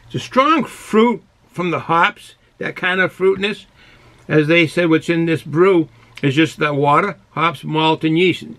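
A man's voice: fairly high pitch, unhurried, a bit bright. A man in his sixties from Boston with a thick Boston accent, chatting casually and rambling a little as he reviews for the camera. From Boston, United States. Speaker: beer reviewer. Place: small cluttered indoor hobby room.

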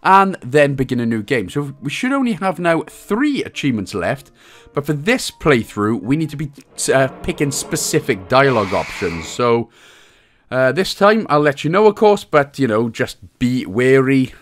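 A man's voice: medium pitch, very animated, moderate pace. A man with a Welsh accent giving a casual game walkthrough with cheeky, jokey asides. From Wales. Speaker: video game guide host.

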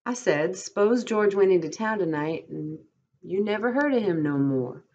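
Woman acting lonely, bitter stable hand, husky. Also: on the low side, expressive, moderate pace, darkish tone, muffled recording, pitched above the performer's own voice. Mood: taunting. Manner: rustic dialect, pressing and brooding.

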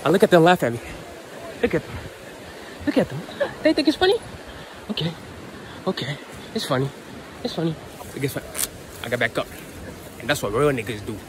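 A young man vlogging casually with friends on a handheld phone camera, loud and joking, laughing a lot. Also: on the high side, very animated, fairly quick, long pauses, background noise. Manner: loud, joking, trash-talking, laughing often. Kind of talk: casual hangout vlog with friends. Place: bowling alley, then woods outdoors.

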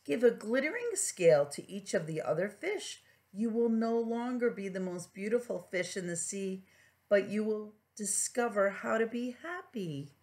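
A woman voicing a wise female octopus giving solemn, measured counsel in a children's storybook. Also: mid-range voice, expressive, darkish tone, close to an everyday voice. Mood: solemn, advising. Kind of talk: children's storybook read-aloud.

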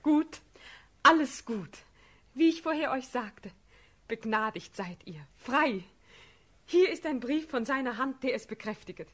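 An actress playing an ardent young princess in a classic verse radio drama, breathy and fervent. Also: female voice, high voice, expressive, measured pace, fairly bright tone, heavily acted. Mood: joyful, urgent. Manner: fervent, urgent declamation.

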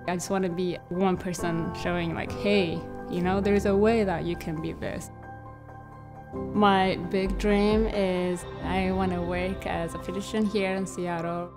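A soft-spoken young woman from Ethiopia tells her story in an earnest, halting way, with a fair amount of pauses and fillers. Her voice sits a little low, and clear music plays under her throughout. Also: steady tone. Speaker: scholarship recipient. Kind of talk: documentary interview voice-over. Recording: music playing behind.

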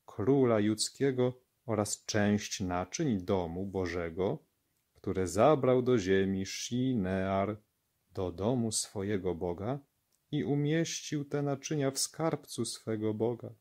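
Slow-reading man with a low voice. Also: a little mellow. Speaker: Bible story reader. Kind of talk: scripted narration, reading scripture aloud for children.

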